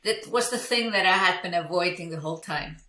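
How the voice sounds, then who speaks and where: A woman's voice: fairly low pitch, fairly quick, sharp tone, reverberant. A woman from Iceland, a business coach and entrepreneur, telling her story candidly in an interview, with frequent fillers and restarts.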